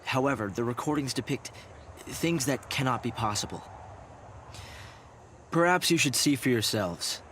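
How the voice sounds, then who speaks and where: An actor playing a thoughtful young research director in a video game, explaining things in a measured, earnest way. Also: male voice, medium pitch, expressive, slight background noise, natural voice. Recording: loud orchestral music behind. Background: game music.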